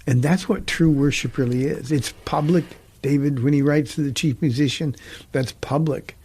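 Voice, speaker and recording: A middle-aged man, a pastor hosting a talk show, teaching from the Bible in an earnest, halting way with frequent restarts. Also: on the high side, darkish tone, slightly rough.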